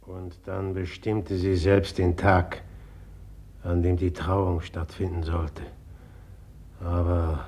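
An actor playing a jealous, passionate young merchant in a 1950s radio drama, speaking slow and heavy, then flaring up. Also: male voice, low voice, mellow, heavily acted. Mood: brooding. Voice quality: gravelly, husky.

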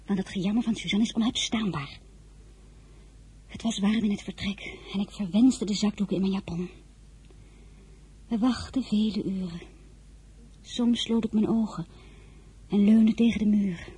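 A woman's voice: medium pitch, normal speed, long pauses, warm tone, breathy edge. An actress playing a headstrong, easily indignant teenage girl who confides her story like a diary in a radio drama. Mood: narrating. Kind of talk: radio drama narration and dialogue.

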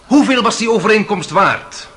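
Bright, animated, mock-courteous defence lawyer. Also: male voice, high voice, moderate pace. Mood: pressing. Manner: mock-courteous, insinuating, theatrical. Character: suave, sarcastic male defence lawyer cross-examining witnesses. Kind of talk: Dutch radio drama courtroom acting.

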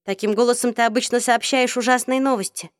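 Бойкая девочка-подросток, чуть выше голосом, её озвучивает чтица, сухая запись без эха. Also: female voice, a little high, steady tone, brisk, dry recording, clean studio sound, close to an everyday voice. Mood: alarmed. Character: teenage girl, lively and worried. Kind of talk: audiobook reading.

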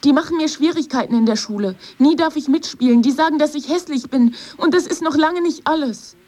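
A woman's voice: high-pitched, fairly quick, a little mellow, close to an everyday voice. A woman playing a lonely, wounded schoolgirl in a radio drama, earnest and confiding. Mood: pleading, hurt. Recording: music under the voice.

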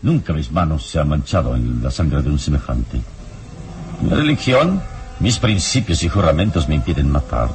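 An actor playing a calm, principled, dignified hero in a radio drama, speaking solemnly and formally. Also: male voice, a bass voice, normal speed, slight background noise. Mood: solemn, resolute.

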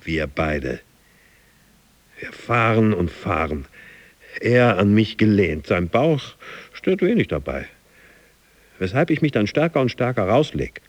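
An actor playing a driven, boastful gym fanatic in a radio drama monologue, intense and theatrical. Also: male voice, fairly low pitch, big pitch swings, takes it slowly, long pauses, no room sound, heavily acted. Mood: dreamy, exalted. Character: driven, boastful male gym fanatic dreaming of his boss and America.

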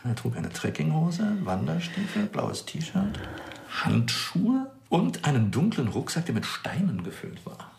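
An actor playing a chatty, pedantic forensic pathologist in a naturalistic radio crime drama, explaining with little asides. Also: male voice, on the high side, huge pitch swings, unhurried, vocal fry, darkish tone, roomy sound, full character voice. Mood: puzzled, factual.

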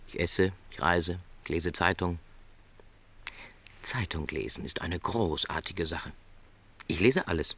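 An actor playing a gentle, lonely middle-aged travelling salesman in a literary radio drama, speaking in a measured, reflective, hesitant way. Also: sits low, exaggerated voice. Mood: wistful, reflective.

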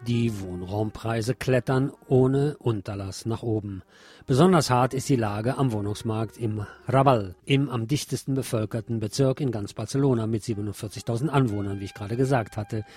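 A man presenting a scripted news magazine on the radio as narrator, with a husky voice. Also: medium pitch, normal speed, darkish tone, soft background music.

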